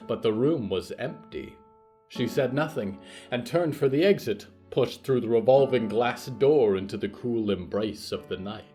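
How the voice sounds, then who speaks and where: A man narrating scripted horror fiction in a measured, solemn, dramatic way. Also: mid-range voice. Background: soft plucked guitar music.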